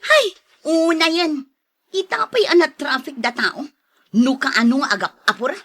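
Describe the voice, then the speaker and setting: A woman acting a sharp-tongued, easily annoyed moneylender and wife in an Ilocano audio drama, exclaiming and complaining. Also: sits high, big pitch swings, normal speed. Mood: irritated, impatient.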